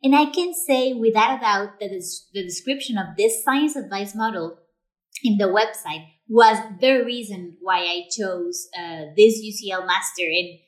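A woman's voice: medium pitch, measured pace. Earnest woman, political scientist guest. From Latin America. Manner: earnest, repeating words as she goes. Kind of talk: conversational interview answer.

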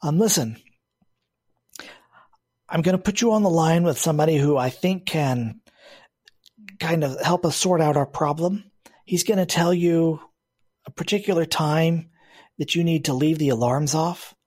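A man's voice: fairly high pitch, a little slow, pauses often, a little mellow, breathy edge. A man narrating as game master of a tabletop game, often restarting phrases and laughing.

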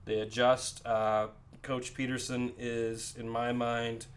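A man's voice: mid-range voice, flat delivery, slow. A man co-hosting a sports podcast. He talks with confidence, cites stats and jokes with his co-hosts. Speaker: podcast co-host. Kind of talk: casual sports talk.

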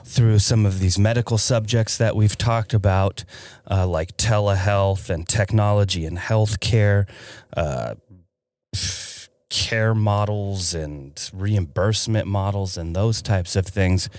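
A man's voice: on the low side, slow, a little mellow, no room sound. A man hosting a podcast in a studio, introducing a guest in an easygoing way with many fillers. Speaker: podcast host. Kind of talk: casual podcast chat.

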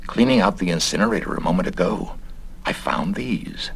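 Man playing Pikes, a hushed, grave henchman. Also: sits low, expressive, moderate pace, gravelly, breathy edge, exaggerated voice, poor audio. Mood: hushed, worried.

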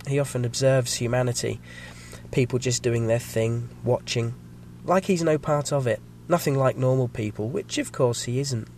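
A man with a slight cold and a croaky voice, hosting a podcast and reading a measured, scripted episode review. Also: medium pitch, darkish tone, slight background noise. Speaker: podcast host.

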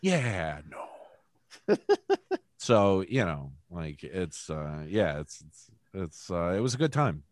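A man's voice: low-pitched, sing-song, slow pace, long pauses, no echo, clean studio sound. A man co-hosting a casual podcast, laid-back, swearing now and then, his voice strained. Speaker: podcast co-host. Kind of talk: casual chat.

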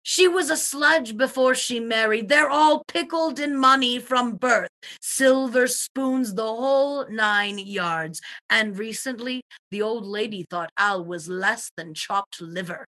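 Woman playing a bright, brash, cynical husband. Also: on the high side, slow, hardly any pauses. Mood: cynical, impatient. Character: cocky, slangy, cynical society husband. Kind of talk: dramatic reading of comic murder mystery.

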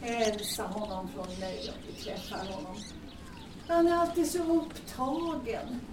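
Woman acting older mother, chatty, cautious, repetitive, high voice, far from mic, birds and noise behind. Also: expressive, close to an everyday voice. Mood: chatty, proud. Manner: repetitive, rambling, questioning. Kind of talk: naturalistic audio drama acting. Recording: echoey, distant, noisy with birds.

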